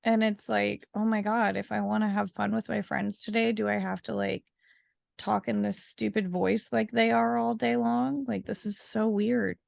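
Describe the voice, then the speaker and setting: A woman's voice: medium pitch, flat delivery, normal speed, vocal fry, darkish tone, no echo, studio quality. A young woman co-hosting a podcast in casual chat, chatty and laughing often, leaning on "like" fillers. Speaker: podcast co-host.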